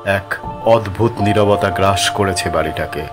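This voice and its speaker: A man with a fairly low voice narrates a scripted horror tale. He builds suspense with dramatic delivery and deliberate pauses. Music is clearly heard behind him. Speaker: storyteller.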